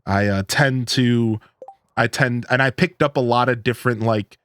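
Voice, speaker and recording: A man's voice: mid-range voice, normal speed, fairly bright tone, no echo, studio quality. A man from Ohio with a Midwestern twang, chatting casually with live-stream viewers in a rambling, laid-back way. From Northeast Ohio, USA.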